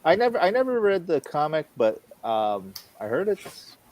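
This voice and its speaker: A man in casual chat as a panelist, halting as he searches for his words. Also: on the high side, sing-song, a little mellow, a little hiss or hum, low-quality recording. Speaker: panelist on a comics drawing show.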